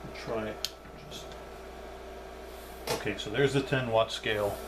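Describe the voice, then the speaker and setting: A man's voice: on the low side, fairly even intonation, measured pace, very noisy. A man giving a casual, unscripted hands-on demonstration as a hobbyist reviewer, thinking aloud as he goes.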